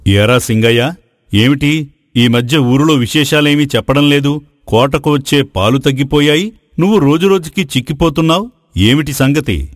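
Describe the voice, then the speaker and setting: A man's voice: medium pitch, moderate pace, dry recording, lightly acted. Man playing a wise kindly king, dry sound. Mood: knowing, inquiring. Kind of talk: Telugu moral story voice acting.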